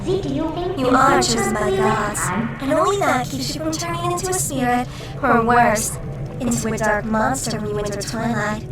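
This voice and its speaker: A high-pitched, impish shadow creature voiced by a woman teases with sardonic flippancy, as a video game companion. The voice sounds distant and echoey, with music playing clearly behind it. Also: unhurried, natural voice. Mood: mocking, teasing. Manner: teasing, mocking, sardonic. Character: impish, teasing female shadow creature companion, mischievous and mocking. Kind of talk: fan-made video game voice acting. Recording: roomy, distant mic under music.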